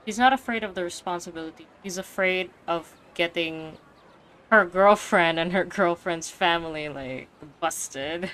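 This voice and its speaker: Slow-paced woman streamer, slangy and expressive. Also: fairly low pitch, clean tone, low-quality recording. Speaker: game streamer. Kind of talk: casual reaction commentary on a game.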